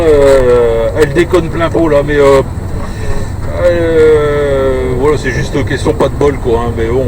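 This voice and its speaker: A man chatting casually on CB radio in short, hesitant bursts. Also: fairly high pitch. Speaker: CB radio operator. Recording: noisy in-car recording, road noise.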